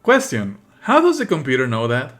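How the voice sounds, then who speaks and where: A man's voice: medium pitch, wildly swooping pitch, moderate pace, fairly bright tone, soft background music. A man doing live let's play commentary as a streamer, emphatic, exasperated and prone to swearing.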